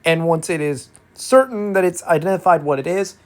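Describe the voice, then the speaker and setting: A man's voice: on the high side, normal speed. A man giving a detailed educational explainer in ASMR style, restating key points for emphasis as he goes. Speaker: educational content creator.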